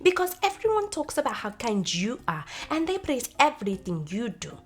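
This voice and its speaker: Woman acting a haughty young woman, high and scolding. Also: big pitch swings, normal speed, faint music behind. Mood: resentful, boastful. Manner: imperious, scolding. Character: mean, haughty, bad-tempered beautiful young woman, scornful. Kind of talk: storybook character voice.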